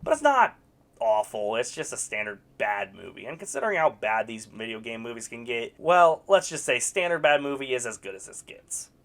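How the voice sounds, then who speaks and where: Young adult reviewer, mid-pitched voice that swings widely, sarcastic with blunt verdicts; suits scripted critique. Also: big pitch swings, moderate pace, fairly bright tone. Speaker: video reviewer. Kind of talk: scripted movie review commentary.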